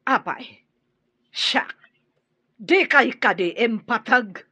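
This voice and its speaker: An actress playing a bitter, hard-nosed warrior clan mother in an Ilocano radio drama, scolding and forceful. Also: fairly high pitch, expressive, extremely slow, slightly rough, no echo, muffled recording, heavily acted.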